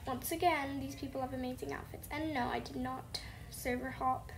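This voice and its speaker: A young woman rambles through casual gameplay commentary in a soft, half-whispered voice. Her voice sits high. Clear noise from a busy home runs behind her. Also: steady tone, moderate pace. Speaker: gaming channel host. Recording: noisy home recording.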